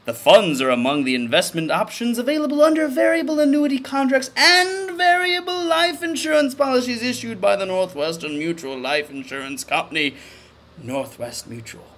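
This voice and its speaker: A man giving a mock-dramatic comedic reading of a formal document, with overblown emphasis and deadpan muttered asides. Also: very high-pitched, moderate pace.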